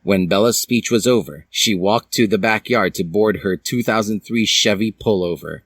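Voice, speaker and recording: A man's voice: lowish voice, normal speed, fairly bright tone, slight background noise. A man reading fan fiction aloud as a dramatic, comic storyteller with a theatrical manner. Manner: theatrical, puts on character voices.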